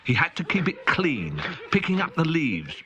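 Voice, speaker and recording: A man's voice: fairly high pitch, huge pitch swings, moderate pace, lo-fi sound, background noise. A man reading a children's audiobook aloud in a playful, comic manner. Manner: playful, comic, spirited delivery. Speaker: storyteller. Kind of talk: scripted children's audiobook narration.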